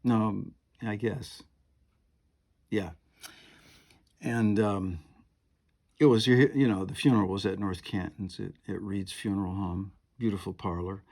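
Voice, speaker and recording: A man's voice: fairly low pitch, steady tone, a little slow, pauses often, a little mellow. A man in his seventies, a piano and harp player, talking at home in a meandering, chatty way with lots of fillers and asides. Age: 70s. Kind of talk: rambling monologue to camera.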